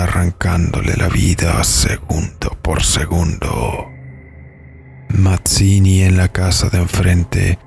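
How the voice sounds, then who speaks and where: A man with a very low, creaky voice narrates a scripted horror story. He reads very slowly, with music running underneath. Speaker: narrator and host of horror audio stories.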